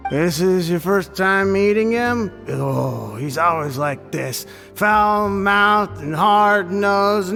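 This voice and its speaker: An actor playing a drunk, chummy business acquaintance who talks in a loose, overfriendly, slurring way. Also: male voice, sits high, pushed and bright, full character voice. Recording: reverb with game music.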